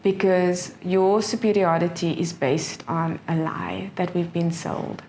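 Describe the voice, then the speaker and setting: A middle-aged South African woman, a professor speaking as an interview guest, earnest and quick to laugh, often restarting her phrases. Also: on the low side, fairly even intonation, a little mellow, a little hiss or hum. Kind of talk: casual interview answers.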